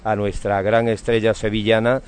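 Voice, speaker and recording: A man's voice: on the low side, level tone, moderate pace, clean tone. A man speaks as a local radio interviewer. He is courteous and effusive, favouring florid phrases in a conversational style. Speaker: radio host interviewing on the street.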